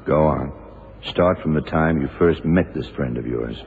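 An actor playing a tough, terse private detective with a deadpan manner in a radio drama. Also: male voice, very deep voice, moderate pace. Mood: probing. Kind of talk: hard-boiled radio drama acting. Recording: tinny, hissy 1970s radio recording.

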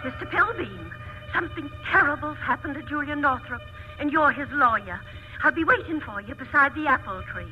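An actress playing a shrewish, scheming aunt, prim and insistent, in a 1940s radio comedy. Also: female voice, sits high, lively intonation, a little fast, loud music behind the voice. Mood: urgent. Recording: tinny, band-limited old radio broadcast.

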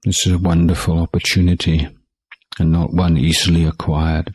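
A man teaching guided meditation in a calm, measured way, leaving long pauses between short phrases. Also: low-pitched, husky, dry recording. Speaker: Buddhist monk meditation teacher.

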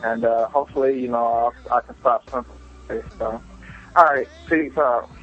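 A man's voice: mid-range voice, steady tone, moderate pace. Male caller, twenties, candid and foul-mouthed confessional storytelling, muffled voicemail over music. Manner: candid, self-deprecating, profane. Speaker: listener calling a podcast voicemail. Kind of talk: casual personal storytelling.

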